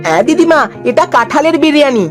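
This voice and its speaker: A woman's voice: high-pitched, lively intonation, fast talker, soft background music. A woman voicing an eager, helpful young village boy in a cartoon, polite and earnest. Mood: kind.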